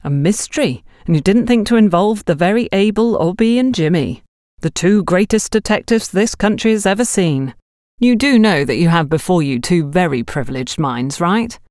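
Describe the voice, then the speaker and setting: A woman's voice: lowish voice, lively intonation, normal speed, a little mellow, dry recording, clean studio sound, pitched above the performer's own voice, lightly acted. A woman voicing a cocky, mischievous young male security guard in an audiobook, boastful and theatrical.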